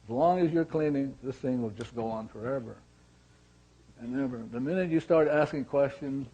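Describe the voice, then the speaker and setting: Male teacher, mellow tone, deliberate and emphatic, muffled lo-fi sound. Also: mid-range voice. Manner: slow and emphatic, trails off quietly. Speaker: speaker. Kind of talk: teaching talk.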